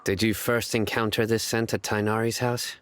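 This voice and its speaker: Man as stoic desert lawman in a game, low voice, terse, deadpan, measured, faint noise behind. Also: close to an everyday voice. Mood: analytical, calm. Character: stern, stoic young male desert lawman. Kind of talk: video game voice acting.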